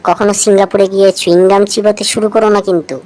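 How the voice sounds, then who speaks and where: A woman with a mid-pitched voice narrates a light trivia feature from a script. She speaks straight to the listener and often frames things as rhetorical questions. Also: little pitch movement, fast, mellow.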